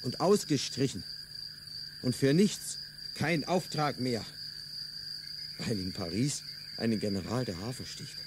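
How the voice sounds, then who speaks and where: A man's voice: medium pitch, unhurried, long pauses, noisy background, lightly acted. A man playing a bitter, grumbling Frenchman. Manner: grumbling, resentful delivery. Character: bitter, weary male French colonial agent. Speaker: radio drama actor. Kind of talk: radio play acting.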